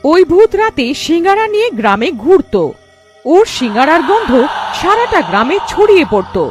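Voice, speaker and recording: A woman narrates a cartoon tale from a script. Her voice is high and swings animatedly in pitch, with music underneath. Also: big pitch swings, moderate pace.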